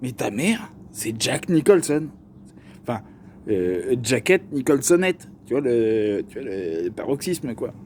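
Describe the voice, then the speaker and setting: A young man with a Parisian accent, talking casually to camera in a slangy, joking way with plenty of fillers. His voice is dark and mellow, with a faint hum of room noise behind him. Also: medium pitch, very animated, measured pace. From Paris region. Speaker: vlogger.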